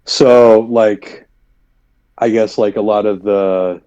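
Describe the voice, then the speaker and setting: A man's voice: on the low side, steady tone, slow pace, mellow, clean tone. A man co-hosting a podcast, chatting casually and thoughtfully with many fillers. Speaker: podcast co-host. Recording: dull lo-fi sound.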